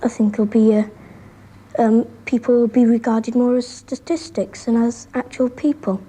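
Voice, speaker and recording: A teenage British girl giving thoughtful interview answers in a hesitant, measured way. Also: fairly high pitch, little pitch movement, dark tone, husky, poor audio. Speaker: young interviewee. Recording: 1960s archival interview recording.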